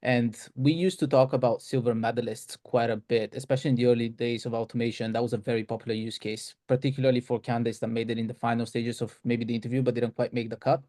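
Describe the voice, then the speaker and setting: A man's voice: medium pitch, little pitch movement, quick pace, hardly any pauses, dark tone. A man hosting a webinar question session, reading out questions and explaining answers in a conversational way. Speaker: webinar host fielding questions. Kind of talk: live Q&A at a product webinar.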